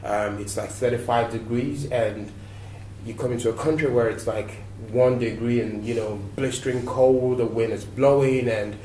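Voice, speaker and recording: A man's voice: mid-range voice, moderate pace. Man from Nigeria, recorded in a roomy space. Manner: relaxed, reflective storytelling with fillers. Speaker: guest. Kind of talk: interview answers. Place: TV studio. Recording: roomy echo, mic at a distance, faint noise.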